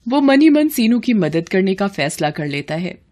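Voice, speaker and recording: A woman's voice: medium pitch, big pitch swings, normal speed, dark tone. A woman storyteller reading a scripted story as narration.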